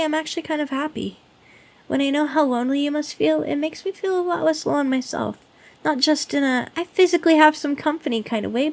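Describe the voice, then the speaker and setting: Woman as a high-voiced, lonely, clingy demon girl. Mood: wistful, lonely. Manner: gentle, pleading, earnest, with hesitant stammers and hushed dips. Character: lonely, sweetly clingy, obsessively devoted sealed demon woman. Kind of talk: ASMR roleplay addressed to the listener.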